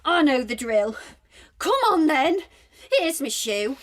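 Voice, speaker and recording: An actress playing a defiant, cheeky young prostitute of eighteenth-century London, mocking and brazen, in a period audio drama. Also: female voice, sits high, expressive, slow. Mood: mocking defiance.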